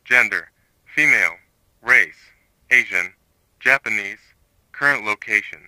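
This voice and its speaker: Man playing a grim investigator, sharp forceful voice. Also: fairly low pitch, lively intonation, a little slow, dry recording, full character voice, rough recording. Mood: grim, detached. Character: grim retired male investigator recording a case tape. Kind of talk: scripted narration, analog horror case report.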